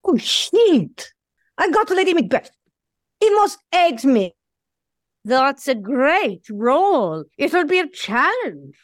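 Very high, dramatic female show dog, played by a woman. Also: big pitch swings, slow, dry recording, studio quality, exaggerated voice. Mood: thrilled. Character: excitable, gushing female show dog, theatrical and competitive. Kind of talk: audio play acting.

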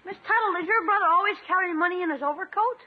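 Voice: extremely high pitch, rapid-fire. An actor playing an eager, wheedling schoolboy nephew in a 1950s radio comedy. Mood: polite, eager. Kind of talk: 1950s radio sitcom acting. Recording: dull vintage 1950s radio recording.

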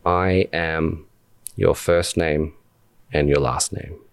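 Slow, low-voiced man guiding meditation. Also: vocal fry, clean tone, no room sound. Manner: calm, deliberate, with long pauses between short phrases. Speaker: meditation guide and podcast host. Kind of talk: guided meditation.

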